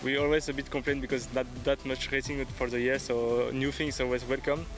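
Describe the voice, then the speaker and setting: Halting young man from Belgium. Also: mid-range voice, flat delivery, music in the background. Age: 20s. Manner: halting, filler "like", simple phrasing. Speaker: competitor. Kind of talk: casual interview answers.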